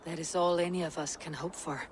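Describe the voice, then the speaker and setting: An actress playing a viking clan leader who speaks in a measured, authoritative way. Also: female voice, deep voice, fairly even intonation, a little hiss or hum, natural voice. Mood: commanding. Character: female viking clan leader, calm and commanding. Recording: game cutscene over soft music.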